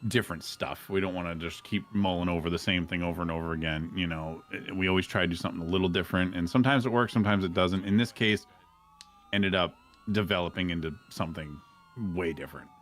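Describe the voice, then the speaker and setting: Lowish-voiced man in casual chat. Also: fast, a bit bright. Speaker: podcast guest, roleplay gamer.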